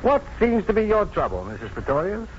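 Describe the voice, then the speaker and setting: An actor playing a confident, tender doctor and husband in 1950s radio drama, teasing and measured in his delivery. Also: high-pitched, wildly swooping pitch. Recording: dull, faintly noisy 1950s radio broadcast.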